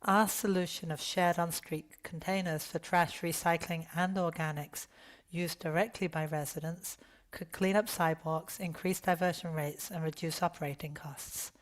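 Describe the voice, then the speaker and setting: A hoarse woman who has nearly lost her voice, testifying hesitantly over a video call at a public hearing. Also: fairly low pitch, fairly even intonation, moderate pace. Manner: hesitant, self-correcting.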